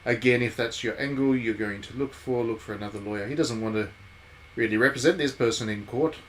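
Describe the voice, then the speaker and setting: A man's voice: medium pitch, brisk. Man thinking aloud, noisy background. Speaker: game streamer. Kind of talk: casual live commentary on a visual novel playthrough. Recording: steady background noise.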